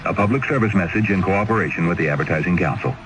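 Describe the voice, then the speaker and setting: A man's voice: low-pitched, fast, poor audio. A man reading a scripted public service announcement on the radio.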